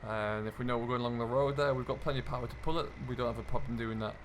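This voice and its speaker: A man's voice: medium pitch, steady tone, very fast. A British man giving casual, explanatory gameplay commentary, musing aloud as he goes. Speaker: video game streamer, host. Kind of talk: casual commentary over farming simulator role play. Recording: clear engine and vehicle noise behind. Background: game tractor and loader engine sounds.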